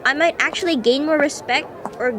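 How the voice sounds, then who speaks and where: A woman acts as a skeptical female classmate in a radio drama. Her high voice is informal and questioning, with blunt casual phrasing. Clear outdoor city noise and vehicles run behind her. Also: a little fast, crowd noise, lightly acted. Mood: incredulous. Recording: noisy street ambience with vehicles. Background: outdoor urban noise, vehicles.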